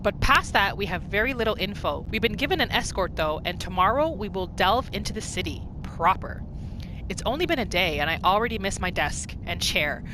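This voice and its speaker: A woman's voice: mid-range voice, animated, brisk. A woman giving casual game commentary on her roleplay playthrough, thinking aloud and exclaiming readily. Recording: clear noise behind the voice.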